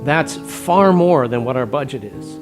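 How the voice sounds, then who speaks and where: A man preaching a stewardship sermon as pastor, measured and plain-spoken, working through numbers aloud. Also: medium pitch, animated. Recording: music bed underneath.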